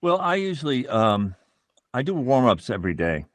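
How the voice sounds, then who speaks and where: A middle-aged man being interviewed as a voice actor, explaining things in a measured, reflective way and pausing to find his words. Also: medium pitch, sing-song, dry recording.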